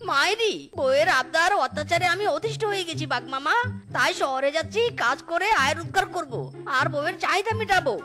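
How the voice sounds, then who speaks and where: Super high squeaky cartoon fox husband, cheeky and always complaining, music going behind. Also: animated, fairly quick, bright, forceful tone, full character voice. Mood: pleading, stubborn. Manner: exclaiming, complaining. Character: henpecked male fox, whiny, cheeky and fearless of ghosts. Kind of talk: animated cartoon dialogue.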